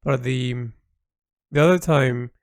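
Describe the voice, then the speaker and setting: A man speaking a reflective audio diary as an artist, halting and introspective as he searches for words. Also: medium pitch, dry recording, clean studio sound. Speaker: artist recording an audio log.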